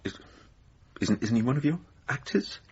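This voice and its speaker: An actor playing a wounded, doubting male lover, halting and brittle, in a naturalistic radio drama. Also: mid-range voice, animated, a little mellow, breathy edge, highs cut off. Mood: bitter, accusing.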